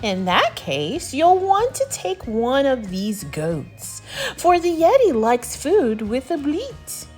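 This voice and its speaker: A woman's voice: sits high, wildly swooping pitch, slow, a little mellow, faint music behind, full character voice. A woman voicing a huge, grumpy female mountain monster in a storybook, emphatic and indignant. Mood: gruff, advising.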